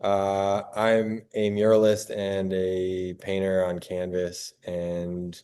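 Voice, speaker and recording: A man's voice: low-pitched, monotone, slow pace, warm tone, clear, resonant tone. A man from Colorado hosting a casual artists' meetup, talking hesitantly with many fillers. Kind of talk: casual group introduction.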